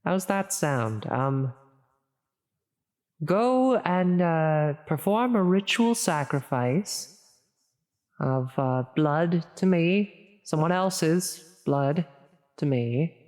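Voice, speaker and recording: A woman's voice: low-pitched, expressive, a little mellow, full character voice. A woman playing a flustered, well-meaning female demon tempter in an ASMR audio roleplay, hesitant and stammering as she coaxes. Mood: coaxing, hesitant. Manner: hesitant, halting, stammering, coaxing. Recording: long reverberant echo on the voice.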